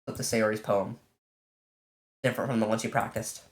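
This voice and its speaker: A let's player casually commenting on a visual novel and reading its text aloud, in an informal, halting way. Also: medium pitch, steady tone. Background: game music.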